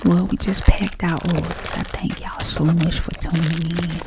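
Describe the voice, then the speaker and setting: A woman with a Southern US accent doing chatty ASMR, speaking softly and half-whispering like a folksy auntie giving advice. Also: on the low side, level tone, normal speed, background noise. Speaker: ASMR creator packing orders.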